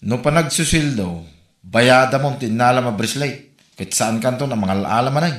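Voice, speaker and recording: A man with an Ilocano accent, playing a calm husband who gives his wife practical advice. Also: medium pitch, normal speed, reverberant, lightly acted. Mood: advising. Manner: steady, advising.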